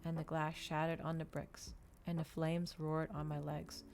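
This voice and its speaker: A woman reading a novel aloud in a dramatic ASMR storytelling style, with measured, expressive delivery. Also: low voice, gravelly, a little hiss or hum.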